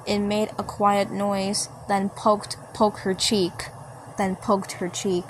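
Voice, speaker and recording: A woman reads out a homemade story at a slow pace. She is halting and repeats phrases as she goes, and the recording has background noise. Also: medium pitch, little pitch movement, rough recording. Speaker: narrator of a fan dub story. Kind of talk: amateur story narration.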